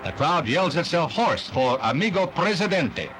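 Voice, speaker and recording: A man's voice: a little high, sing-song, normal speed. An American man reading scripted 1960s newsreel narration in a declamatory announcer's delivery, on a vintage soundtrack. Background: newsreel music.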